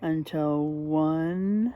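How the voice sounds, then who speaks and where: A woman reading a picture book aloud like a teacher, expressive and dramatic, pausing to put questions to young listeners. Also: sits low, dry recording, phone-quality sound. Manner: animated, dramatic delivery with drawn-out words.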